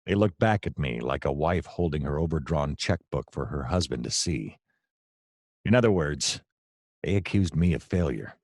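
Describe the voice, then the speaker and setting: An actor playing a seedy, self-deluded private detective, narrating a comic noir radio play in a deadpan, melodramatic way. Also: male voice, rumbling low, no echo, clean studio sound. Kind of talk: hardboiled noir first-person narration, comedic radio play.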